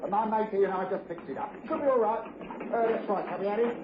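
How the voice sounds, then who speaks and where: An actor voicing a cheery, matey working-class British workman, chatty and halting, in mid-century radio drama style. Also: sits high, echoey room, background noise, pitched above the performer's own voice, lightly acted. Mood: apologetic, matey. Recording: dull, lo-fi old radio recording.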